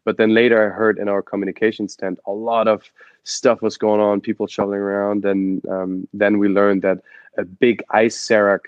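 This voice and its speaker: A man's voice: on the low side, flat delivery, normal speed, no echo, lo-fi sound. A young man from Germany with a German accent, telling his story as an interview guest with many fillers and restarts. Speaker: guest, adventure photographer and expedition guide.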